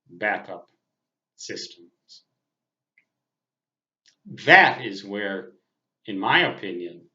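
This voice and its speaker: An older man speaks at an unhurried, slow pace in a fairly low voice. He addresses viewers off the cuff, with deliberate, wordy phrasing and a self-deprecating manner. The sound is roomy and echoing. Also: a bit bright, highs cut off. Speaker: amateur radio operator and vlogger. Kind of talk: unscripted personal monologue to viewers.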